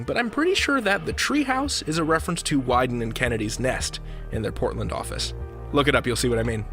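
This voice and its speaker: A man hosting a podcast in a scripted, conversational storytelling style, playful and theatrical in his emphasis. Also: mid-range voice. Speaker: podcast host. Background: music bed.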